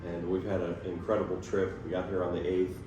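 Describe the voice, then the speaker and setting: Middle-aged guy with a really deep voice, co-hosting, far off in a big echoey room. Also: completely flat delivery, a little fast, dull audio. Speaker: podcast co-host. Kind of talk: casual interview questions. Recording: echoey room, distant mic, noisy.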